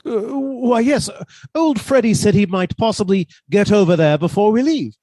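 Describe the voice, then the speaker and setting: A man playing a hesitant, submissive professor husband with a quiet menace, stammering his way through a radio suspense drama. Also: sits high, lively intonation, normal speed, no room sound. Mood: meek, flustered. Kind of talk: theatrical radio suspense acting.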